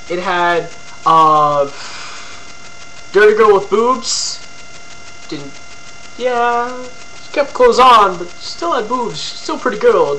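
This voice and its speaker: Young dude rambling into his webcam, bright edgy voice, slight hum behind. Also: high-pitched, low-quality recording. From Ontario, Canada. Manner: rambling, lots of ums and likes, crude jokes. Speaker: vlogger. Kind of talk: casual rambling video blog.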